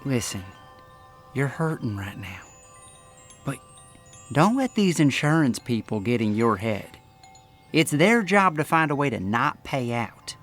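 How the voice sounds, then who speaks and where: An actor playing a protective, plain-spoken farmhand in an audio drama, folksy and halting as he reassures. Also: mid-range voice, a bit bright.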